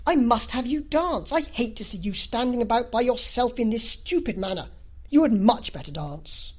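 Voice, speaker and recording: A woman voicing a cheerful, sociable young gentleman in a novel reading, speaking in a friendly, enthusiastic, urging way. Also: fairly high pitch, expressive, moderate pace, pitched above the performer's own voice. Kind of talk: classic novel audiobook character reading.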